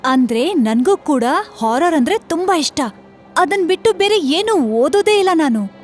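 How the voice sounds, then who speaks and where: A woman's voice: sits high, expressive, unhurried. A woman voicing a mysterious young female ghost posing as a train passenger in a horror story, coy and unruffled. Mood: eerily playful. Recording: music behind the voice.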